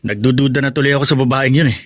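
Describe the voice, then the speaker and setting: A young man's voice an actor's as a protective big brother in a Filipino radio drama. He is blunt, suspicious and quick to scold. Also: medium pitch, steady tone, dry recording, studio quality, natural voice. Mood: stern, suspicious.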